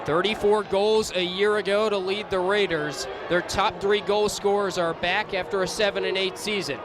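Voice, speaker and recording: A young man doing live play-by-play lacrosse commentary for radio, excitable and ready to shout on big plays. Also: high voice, moderate pace. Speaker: play-by-play announcer. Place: sports stadium during a live game. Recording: loud crowd noise behind commentary. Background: crowd and arena noise.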